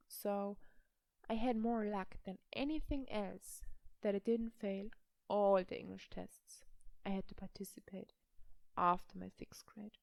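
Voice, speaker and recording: Slow German-accented young woman, gravelly. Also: fairly high pitch, a little mellow, dry recording. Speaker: language vlogger. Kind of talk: slow personal vlog talk.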